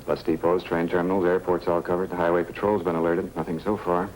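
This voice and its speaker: Male actor as weary night-duty professional, American, 1950s radio police drama. Extremely low, brisk, matter-of-fact, faintly noisy old recording. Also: flat delivery, darkish tone, natural voice. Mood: weary, determined.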